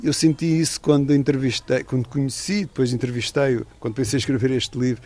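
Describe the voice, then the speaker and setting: A man's voice: medium pitch, fairly even intonation, fast talker, mellow. A middle-aged man, an author and former correspondent, talking in a radio interview in a thoughtful, digressive way with many restarts.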